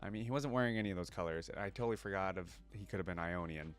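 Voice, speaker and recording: A man's voice: deep voice, racing. A man hosting a reaction video, commenting casually and reading text aloud as he goes. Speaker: host of a reaction channel.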